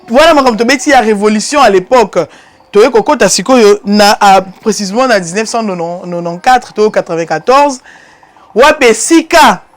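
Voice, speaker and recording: A woman from DR Congo with a Congolese accent, hosting a political commentary show and speaking emphatically, climbing higher and louder on key points before dropping low. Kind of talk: impassioned political commentary monologue.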